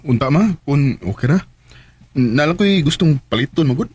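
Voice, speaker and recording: An actor playing a shy, smitten young working man with a Filipino Cebuano accent, halting and nervous, in a radio drama. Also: on the high side, animated, darkish tone, husky, no echo. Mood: shy, hopeful. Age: young adult. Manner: hesitant, halting with stammered interjections. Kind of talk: Bisaya radio drama acting. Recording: radio drama with music underneath. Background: background music.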